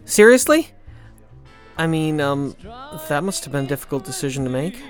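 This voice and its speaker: A man's voice: on the high side, big pitch swings, moderate pace, soft background music. An actor playing a curious, slightly awkward young male student in an audio drama. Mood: curious, surprised.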